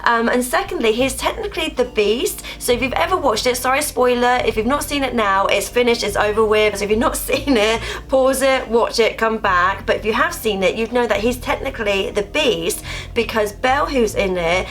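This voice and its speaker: A woman's voice: fairly high pitch, normal speed, a bit bright. A woman vlogging casually about her collectibles, chatty and rambling, getting over a cough and coming down with a cold. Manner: chatty, rambling, leans on filler words like "obviously" and "actually". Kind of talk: casual haul vlog.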